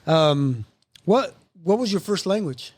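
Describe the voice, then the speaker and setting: Middle-aged man, podcast host, casual chat, easygoing, chatty, slightly high voice. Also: animated, takes it slowly, a little mellow, breathy edge, dry recording. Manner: easygoing, chatty, laughs often. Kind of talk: casual conversation.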